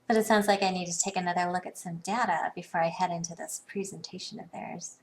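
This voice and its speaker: A woman acting a savvy, poised businesswoman in a video game, speaking in a dry, sardonic, measured way. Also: on the low side, fairly even intonation, lightly acted. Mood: cool, sardonic.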